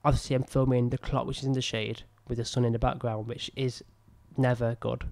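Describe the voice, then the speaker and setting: A young man with a croaky voice from illness, casually commenting on his old film, rambling and self-critical with many fillers, close to a boom-arm mic in a small room. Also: level tone, a little fast, darkish tone. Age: young adult. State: ill, croaky voice. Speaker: filmmaker reviewing his own work. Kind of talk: casual commentary over footage. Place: small room indoors at a desk. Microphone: studio mic on a boom arm close to mouth.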